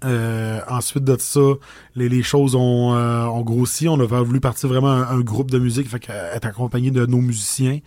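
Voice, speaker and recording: Halting Québécois man, dry sound. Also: mid-range voice, fairly even intonation, dark tone, dry recording. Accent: Québécois French. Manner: hesitant, many pauses and restarts. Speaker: singer, interview guest. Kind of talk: casual storytelling in an interview.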